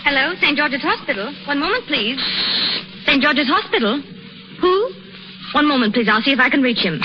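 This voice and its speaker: A woman playing a brisk, polite hospital switchboard operator in a 1930s radio drama, clipped and efficient. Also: high voice, expressive. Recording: dull, hissy 1930s radio recording.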